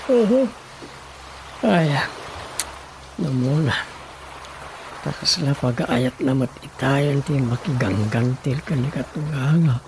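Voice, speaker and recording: A man's voice: medium pitch, lively intonation, slow, long pauses, background noise. A man acting a comic, flustered village chairman in an Ilocano radio drama, muttering and exclaiming to himself. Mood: musing, grumbling.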